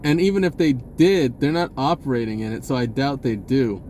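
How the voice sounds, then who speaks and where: A man giving a rambling, casual spiritual monologue in a halting, informal and blunt way, swearing at times. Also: medium pitch, a little mellow, a little hiss or hum. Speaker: vlogger speaking a personal message.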